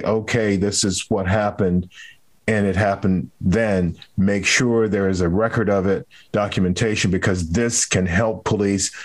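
A man with a fairly low voice hosts a serious interview. He talks in a measured, deliberate way, setting out his commentary phrase by phrase. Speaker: podcast host.

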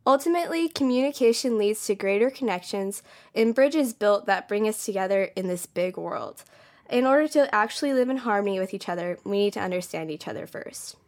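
A woman's voice: mid-range voice, expressive, a little fast. A young woman from California, co-hosting a college podcast with bubbly enthusiasm and lots of casual "like"s. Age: young adult. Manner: bubbly, enthusiastic, frequent "like" and laughs. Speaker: podcast co-host.

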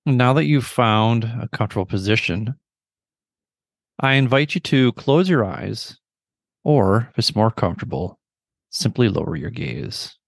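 A middle-aged man leading a guided meditation with measured, gentle, instructional phrasing and pauses between phrases. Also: on the low side, animated, creaky, no room sound, studio quality.

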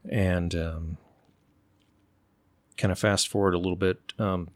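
A middle-aged man from the United States, telling stories in an unhurried, thoughtful way and pausing to find his words. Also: sits low, steady tone, no room sound. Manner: unhurried, thoughtful, often pauses to search for words. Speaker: interview guest. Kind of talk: relaxed conversational storytelling.

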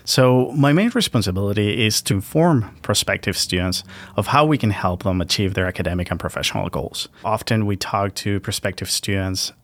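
A man from Venezuela working as a university recruiter, answering questions in a polite, measured way. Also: lowish voice. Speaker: international recruitment officer. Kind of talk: guest answering interview questions.

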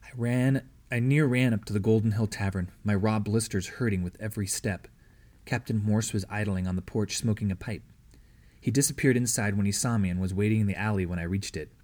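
A man's voice: fairly low pitch, brisk, a little mellow. A man reading a story aloud as scripted narration. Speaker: audiobook reader.

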